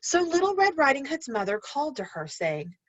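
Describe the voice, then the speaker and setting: Female primary teacher for storybook read-aloud, lively swooping delivery, instructive, on a dull, dry lo-fi recording. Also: high-pitched, fairly quick, no echo. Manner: expressive, instructive, addressing children directly. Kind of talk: read-aloud lesson to young learners, storybook narration.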